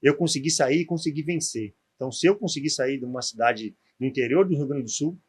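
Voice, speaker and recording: A man's voice: medium pitch, fast talker, husky. A man in his forties with a gaúcho accent from southern Brazil, a former footballer telling his story as a podcast guest, with frequent tag questions. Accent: gaúcho Portuguese. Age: 40s. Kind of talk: podcast interview.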